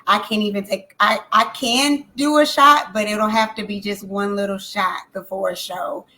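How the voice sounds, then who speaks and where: A woman singer talks casually in an interview. She is emphatic and animated and repeats phrases for effect. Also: mid-range voice, normal speed. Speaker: singer guest.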